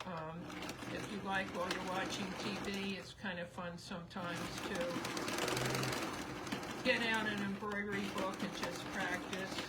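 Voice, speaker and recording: Middle-aged woman, sewing instructor, voice going, rambling live-demo chat, noisy recording. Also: mid-range voice. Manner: chatty, rambling, thinking aloud. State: losing her voice. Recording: noisy background.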